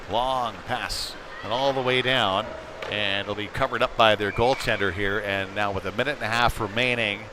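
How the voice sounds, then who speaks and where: An American man giving casual, conversational live hockey play-by-play as a commentator, getting excitable when play heats up. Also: fairly low pitch. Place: indoor ice rink. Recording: noisy indoor ice rink.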